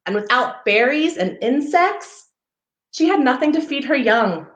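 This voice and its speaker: Slow, lilting woman reading a children's story, echoey. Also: high voice, highs cut off. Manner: expressive, pausing to point out pictures to young listeners. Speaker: parks foundation outreach coordinator hosting story time. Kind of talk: read-aloud children's story time. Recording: roomy, echoey livestream sound.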